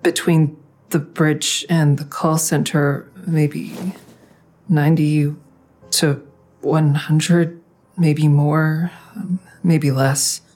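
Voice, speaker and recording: An actress playing a hesitant, guilt-ridden grown daughter who narrates and confesses plainly in an audio drama. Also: female voice, low voice, level tone, extremely slow, darkish tone, soft background music, heavily acted. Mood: reflective. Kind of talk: audio drama acting with first-person narration.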